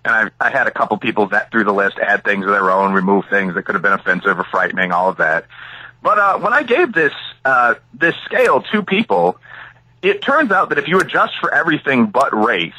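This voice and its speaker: Male author guest from Chicago, very bright husky tone, lively swings in pitch, thinks aloud. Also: brisk, no room sound, poor audio. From Chicago area, USA. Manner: expansive, thinks aloud with frequent asides. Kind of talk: conversational interview answers.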